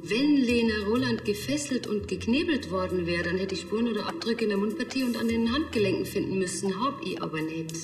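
Actress as sardonic pathologist, reverberant room. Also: female voice, mid-range voice, animated, brisk, few pauses, natural voice. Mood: matter-of-fact. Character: sardonic, self-assured female forensic pathologist. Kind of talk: naturalistic TV drama acting. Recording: reverberant hall, faint music.

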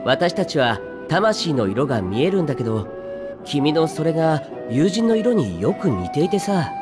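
A man's voice: fairly high pitch, big pitch swings, measured pace, over music. A man voicing an easygoing, courteous young robed mage in a video game, breezy and friendly. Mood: friendly, apologetic. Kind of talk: Japanese video game voice acting.

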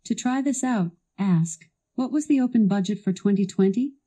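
A woman's voice assistant delivering scripted product demo narration in a polished, instructional, clearly enunciated way. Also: mid-range voice, moderate pace, dark tone.

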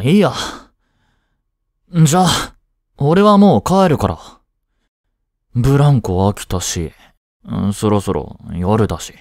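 A man's voice: fairly low pitch, a little mellow, dry recording, studio quality, heavily acted. A young man playing a lovestruck, jealous schoolboy in a romantic voice drama, speaking casually with hesitations and sighs. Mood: feigned indifference. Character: lovestruck, jealous teenage schoolboy childhood friend. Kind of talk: Japanese romantic voice drama acting.

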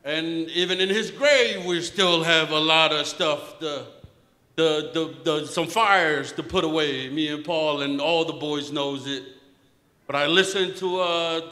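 A man's voice: fairly high pitch, a little slow. Tongan-accented man in an echoey hall. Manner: warm joking storyteller, laughs between lines. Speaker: eulogy speaker. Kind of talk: personal eulogy. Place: large banquet hall, indoors. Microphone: handheld mic. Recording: echoey banquet hall with long reverb.

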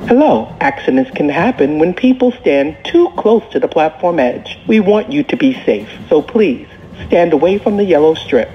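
A woman reading scripted public service announcements for transit riders in a polite, instructive, clearly phrased way. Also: low-pitched, very animated, moderate pace, few pauses. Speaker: transit safety and accessibility announcer. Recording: muffled recording with faint noise.

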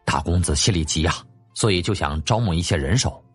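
A man's voice: low voice, fairly quick, slightly rough, no echo, faint music behind, pitched below the performer's own voice. A man voicing an obsequious household steward in an audiobook, respectful and eager to explain. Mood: deferential. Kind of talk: audiobook character acting.

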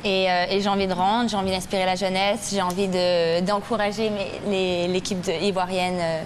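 A woman's voice: medium pitch, flat delivery, moderate pace, slight background noise. A young woman from Canada, a studio guest answering questions in a friendly, earnest way with some restarts. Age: young adult. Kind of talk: casual interview answers. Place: large TV studio with live audience. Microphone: lapel.

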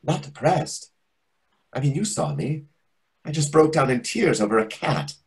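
Waiter for a play reading: needy complainer, husky, highly animated delivery. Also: male voice, measured pace, full character voice. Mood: agitated, distressed. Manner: confessional, complaining. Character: self-pitying, needy, chatty male waiter stuck in depression. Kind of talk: readers theatre over video call. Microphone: video call.

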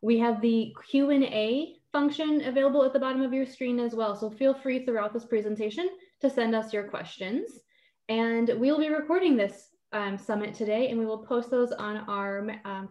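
A woman's voice: on the high side, normal speed, darkish tone. Woman moderator, friendly announcements, dull muffled call audio. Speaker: meeting moderator. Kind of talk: reading logistics announcements. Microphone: video call.

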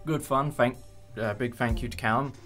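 A man's voice: mid-range voice, steady tone, normal speed, a bit bright, soft background music. A young man hosting a casual behind-the-scenes chat about a production, speaking in a hesitant, appreciative way. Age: young adult. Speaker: host and audio drama producer.

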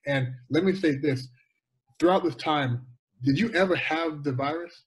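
A man's voice: fairly high pitch, moderate pace, low-quality recording. A man coaching and interviewing a guest over a video call from a room at home, upbeat and prompting with questions. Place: home room with pictures on wall.

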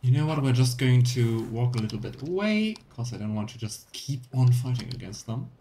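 A man's voice: mid-range voice, lively intonation, a little fast, dark tone, slight background noise. A young man giving casual, playful live commentary as he plays a video game, chatting to the game and viewers. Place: small indoor room at home. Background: game music.

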